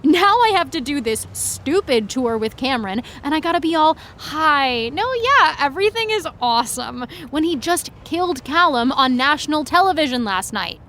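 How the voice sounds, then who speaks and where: Anxious, glum, higher-voiced teenage girl. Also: lively intonation, normal speed, few pauses, a bit bright, a little hiss or hum. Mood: despairing. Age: young adult. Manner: glum, sarcastic, rambling complaints. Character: anxious, self-dramatising, miserable eighteen-year-old girl fan. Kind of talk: naturalistic audio drama acting.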